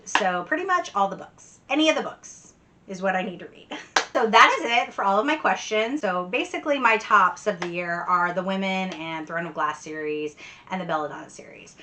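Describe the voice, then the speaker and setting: A woman's voice: medium pitch, expressive, a little fast, pushed and bright, a little hiss or hum. A woman book vlogger chatting casually and enthusiastically, with giggly, emphatic repetition. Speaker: book vlogger answering tag questions. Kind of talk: casual chat to camera.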